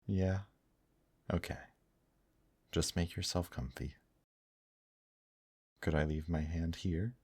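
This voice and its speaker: A man playing a gentle, protective male demon in an intimate ASMR roleplay, speaking softly, tenderly and reassuringly to the listener. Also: low-pitched, steady tone, husky, dry recording, studio quality, heavily acted. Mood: soothing, tender. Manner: soft, tender, reassuring, unhurried.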